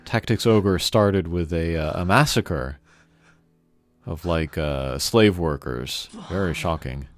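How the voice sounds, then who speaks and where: A man's voice: low voice, slow. A man hosting a casual video game playthrough, laid-back and hesitant as he comments. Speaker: gaming channel host. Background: game music.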